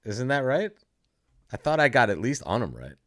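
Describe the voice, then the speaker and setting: A man's voice: medium pitch, sing-song, normal speed, a bit bright, dry recording, studio quality. A man hosting casual live bridge commentary, chatty and joking at his own expense. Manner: joking, chatty, self-deprecating.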